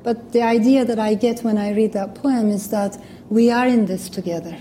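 A woman's voice: a little high, fairly even intonation, normal speed, dark tone, a little hiss or hum. A woman from Turkey with a Turkish accent, speaking English as a second language in a thoughtful, earnest public talk. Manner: thoughtful, earnest, with small restarts. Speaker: guest speaker.

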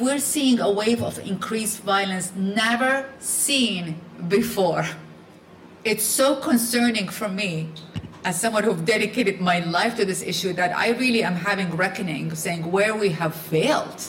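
A woman's voice: mid-range voice, echoey room, slight background noise, rough recording. A woman who grew up in Iraq, speaking on a live panel in an earnest, emphatic way and often restarting her sentences.

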